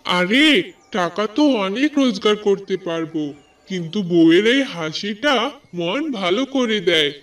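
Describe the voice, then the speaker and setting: A man acts out an emphatic village fellow for a cartoon. His voice is high and his words come slowly. It sounds somewhat distant from the mic, with faint music. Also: animated, a bit bright. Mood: affectionate, eager. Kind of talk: Bengali cartoon voice acting.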